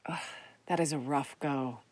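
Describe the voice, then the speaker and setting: An actress playing a warm, eager, grateful neighbor woman in radio play dialogue. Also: a bass voice, measured pace, no room sound. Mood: sympathetic.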